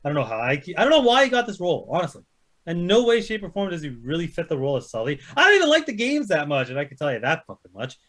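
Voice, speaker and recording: A man's voice: fairly high pitch, huge pitch swings, racing, fairly bright tone. A man chatting casually about movies as a panelist, blunt and opinionated, swearing heavily as he rants. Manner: heavily profane, blunt, ranting. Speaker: panelist ranking films on a chat show.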